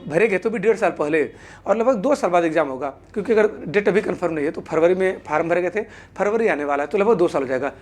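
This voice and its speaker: A woman's voice: mid-range voice, darkish tone. A woman presents an informal explainer to viewers, spelling out her points and repeating them with set phrases. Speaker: exam-news video presenter.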